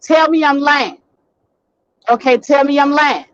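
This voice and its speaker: A woman hosting a casual live stream from her car, talking bluntly and swearing freely, getting a little hoarse. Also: sits high, fairly even intonation, measured pace, no echo, muffled recording. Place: in a vehicle, driving.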